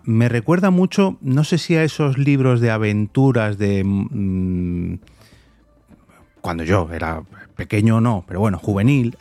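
A man's voice: on the low side, a little mellow, dry recording. Middle-aged Spanish man hosting a podcast, close dry sound. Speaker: podcast host. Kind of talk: conversational podcast presenting and interviewing.

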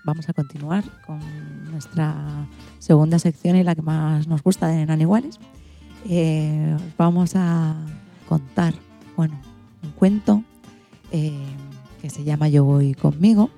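A woman's voice: deep voice, steady tone, a little slow, gravelly, warm tone, soft background music. A woman with an accent from Spain, hosting a radio programme in an informal way. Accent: Spanish from Spain. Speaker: programme host.

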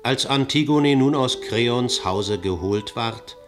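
Slow, solemn chorus narrator, a male actor. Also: mid-range voice, close to an everyday voice. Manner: solemn, incantatory. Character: solemn chorus narrator telling of a doomed princess and revelry. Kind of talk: solemn verse narration in radio drama. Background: music.

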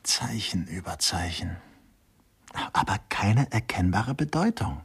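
An actor playing a gruff, baffled policeman in a radio crime play, grumbling in a very raspy voice. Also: low voice, big pitch swings, slow, full character voice. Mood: puzzled. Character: gruff, baffled male policeman who hates modern art. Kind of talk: 1990s German radio play acting.

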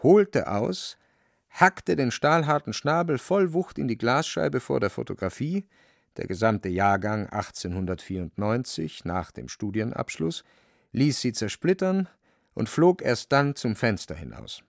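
Casting: male narrator for literary radio drama, gravelly mid-range voice, dramatic delivery, dry close sound. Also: mid-range voice, sing-song, unhurried, no room sound.

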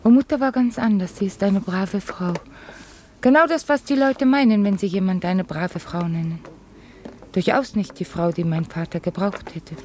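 Actress doing dreamy fragile young woman, radio play, warm mellow voice, musing, bit of background noise. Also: medium pitch, normal speed, close to an everyday voice. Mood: tender, musing. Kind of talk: German radio drama acting.

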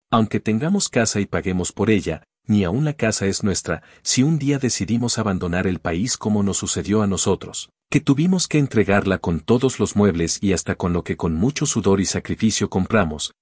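A man's voice: on the low side, breathy edge, no echo. Cuban-accented middle-aged man, audiobook reading, dry close sound, earnest tone. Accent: Cuban Spanish. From Cuba. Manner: earnest, steady reading with long listing phrases. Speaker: audiobook narrator.

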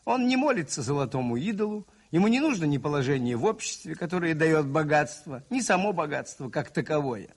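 A gentle priest-detective in a radio drama. His voice sits fairly high and swoops expressively as he moralizes earnestly, with a husky grain. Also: sing-song. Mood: earnest, reflective. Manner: earnest, moralizing, deliberate phrasing with rhetorical repetition. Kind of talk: 1980s radio play acting.

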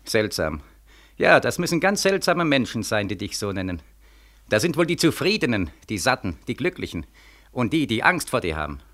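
An actor in a 1940s radio play as a bitter, desperate young soldier, mocking and questioning insistently. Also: male voice, medium pitch, big pitch swings, normal speed, fairly bright tone.

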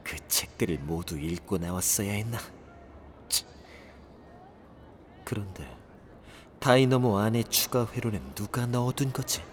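A man's voice: fairly low pitch, a little slow, pauses often, a little hiss or hum, lightly acted. A man playing an arrogant, irritable young inventor, haughty and curt, in an audio drama. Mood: pensive muttering. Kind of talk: Korean audio drama acting.

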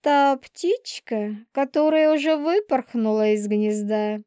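An actress voices a manipulative young she-wolf in a dramatised audiobook. Her voice is on the high side and mellow, recorded very dry and close. She is bitter and theatrical, always needling and pouting. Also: takes it slowly, clean tone, no room sound. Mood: venomous taunting. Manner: taunting, theatrical. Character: spiteful, manipulative pregnant young werewolf woman, bitter and pouting.

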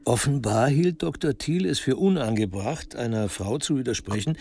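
A man narrating a literary crime radio drama in a measured, precisely articulated way. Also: medium pitch, animated, a little mellow, a little hiss or hum.

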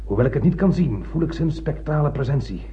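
An actor playing an obsessed, blind older spaceship captain in a radio drama, declaiming poetically and grandly. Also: male voice, a little high, animated, brisk, close to an everyday voice. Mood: grand, commanding. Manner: declamatory, poetic, swinging from hushed to ranting. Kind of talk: theatrical radio drama monologue. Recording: muffled old radio play recording.

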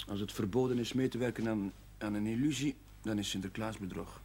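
Defiant chaplain, lowish voice. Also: male voice, fairly quick, a little mellow, slightly rough. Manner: frank, argumentative. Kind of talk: television period drama acting. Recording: faintly noisy old television soundtrack.

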